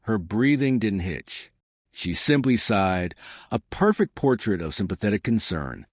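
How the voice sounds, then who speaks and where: Man narrating a dark mystery, very dry sound. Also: on the low side, sing-song, a little slow, no room sound. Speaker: audio drama narrator.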